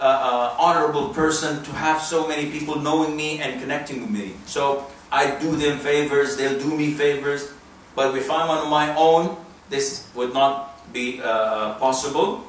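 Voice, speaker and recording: A man from Saudi Arabia, giving a religious lecture as an Islamic preacher in an emphatic, deliberate way with rhetorical questions. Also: mid-range voice. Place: mosque hall, talk to an audience. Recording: distant, very echoey room, faint noise.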